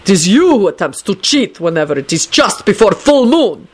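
A woman's voice: mid-range voice, very animated, moderate pace, breathy edge. A woman reader acts a forbidding foreign wizard from a storybook. He is gloomy and irritable, growling in stiff, formal phrases. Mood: outraged.

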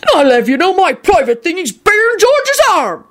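A woman voicing a huge, blustering rustic man in a story, shouting in rough, ungrammatical speech. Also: extremely high pitch, big pitch swings, brisk, voice pushed up. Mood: indignant, furious.

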